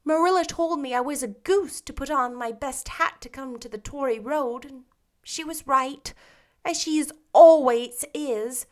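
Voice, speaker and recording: A woman's voice: high voice, measured pace, a little mellow, voice pushed up. A woman voicing a talkative, dramatic teenage girl in a storybook reading, with a fanciful, gushing way of speaking. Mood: cheerful.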